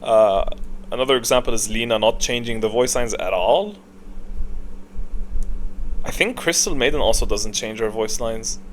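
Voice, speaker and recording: A man doing casual, unscripted tier-list commentary, opinionated and halting as he thinks aloud. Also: medium pitch, slight background noise. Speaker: video creator, gaming commentator.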